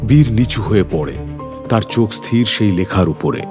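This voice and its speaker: A man's voice: on the low side, lively intonation, moderate pace. A man narrating a scripted suspense story in a dramatic, suspenseful manner. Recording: music behind the voice.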